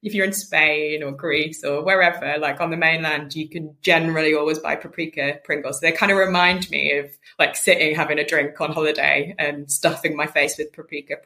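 Bright-voiced, low-pitched woman from Wales. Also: fairly even intonation. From rural mid Wales. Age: late 30s. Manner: chatty, informal, quick to laugh. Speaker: interview guest, charity founder. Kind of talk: casual podcast chat.

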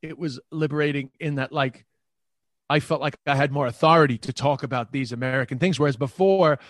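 A man's voice: mid-range voice, a little fast, dry recording, clean studio sound. A man with a New York accent, a comedian talking casually in an interview and often restarting his sentences. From New York, Queens. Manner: rambling, repeats words and restarts. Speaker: comedian guest.

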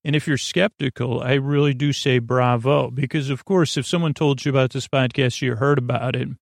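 A man with a creaky voice hosting a podcast, rambling in a halting, gently goofy bedtime monologue meant to help people drift off to sleep. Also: mid-range voice, steady tone, no echo, clean studio sound. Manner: meandering, halting, often trailing off and repeating words. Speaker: podcast host.

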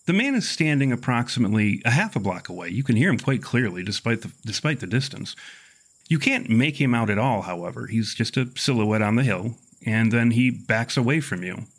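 A man improvising narration as game master of a tabletop horror game, with measured, wordy, formal phrasing. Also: medium pitch, lively intonation, breathy edge, rough recording.

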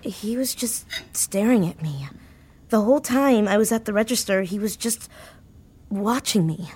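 A woman playing an anxious, grief-stricken young woman in an audio drama, speaking haltingly and repeating words. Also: mid-range voice, a little mellow, husky. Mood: uneasy, halting. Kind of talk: naturalistic audio drama acting.

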